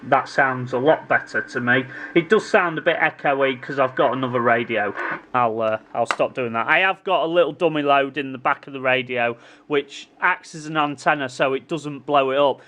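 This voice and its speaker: A man's voice: mid-range voice, moderate pace, sharp tone, slight background noise. A man giving a casual hands-on repair tutorial, informally addressing viewers and thinking aloud as he works. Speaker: repair hobbyist presenting his own video.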